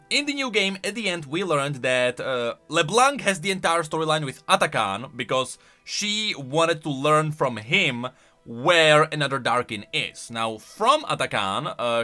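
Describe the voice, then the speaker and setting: A man's voice: fairly high pitch, sing-song, a little slow, bright, forceful tone. A man giving casual video commentary on game lore as a YouTuber, rambling along and stretching words for emphasis.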